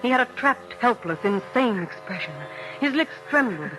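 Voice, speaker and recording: A woman narrating a scripted vintage radio drama in a measured, suspenseful, literary manner. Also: on the high side, animated, rough recording. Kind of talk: dramatic scripted radio storytelling, 1940s-50s. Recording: old radio broadcast, music behind.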